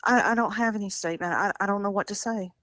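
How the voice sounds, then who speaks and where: A woman's voice: mid-range voice, fast, a bit bright, dry recording, studio quality. A middle-aged woman in an unscripted phone conversation, speaking bluntly with incredulous, defiant pushback. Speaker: prank call target, a mother.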